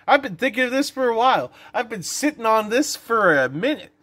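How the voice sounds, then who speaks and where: A man's voice: very high voice, big pitch swings, a little fast, sharp tone, no room sound. A young adult man talking informally and with playful drama as a vlogger telling a story, recorded on a phone held at arm's length indoors. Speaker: vlogger and host. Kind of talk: casual vlog storytelling, reading a short story aloud. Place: indoor room.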